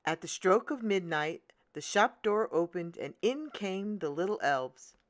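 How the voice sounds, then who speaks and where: Slow, expressive woman reading a storybook. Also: mid-range voice, no room sound. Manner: deliberate, expressive. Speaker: storyteller, children's read-aloud channel host. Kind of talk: scripted storybook narration for children.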